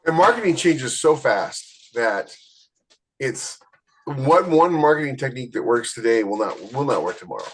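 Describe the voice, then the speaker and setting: A man's voice: mid-range voice, fairly bright tone, a little hiss or hum. A man co-hosting a podcast, talking casually and anecdotally, acting out bits of remembered conversation as he goes. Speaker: podcast co-host and author.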